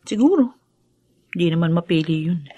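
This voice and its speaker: An actress playing an emotional, aggrieved young wife in a Filipino radio drama, with an emotive, complaining manner. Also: female voice, on the low side, animated, moderate pace, dark tone, slightly rough, dull audio. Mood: casual.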